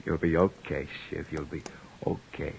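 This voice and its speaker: A man playing a gruff but decent beat cop who talks in streetwise slang and narrates his story, in 1940s radio acting style. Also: very deep voice, flat delivery, normal speed, darkish tone, a little hiss or hum, full character voice, rough recording. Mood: gruff kind. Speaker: police officer and narrator.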